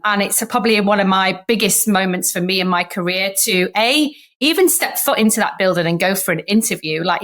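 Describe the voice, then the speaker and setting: A woman in her thirties with a Sheffield English accent, talking as a podcast guest. She tells stories in a chatty way and acts out the voices of people in them. Her pitch sits in the middle for a woman. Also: a bit bright. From Sheffield, England. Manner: chatty storyteller who acts out remembered dialogue. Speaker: guest, startup founder. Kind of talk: podcast interview.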